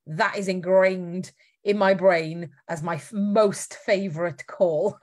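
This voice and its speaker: Chatty Welsh woman hosting a live stream. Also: medium pitch. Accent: faint Welsh. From Wales. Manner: chatty, lively, reads out viewer comments with little laughs. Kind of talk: casual live-stream chat.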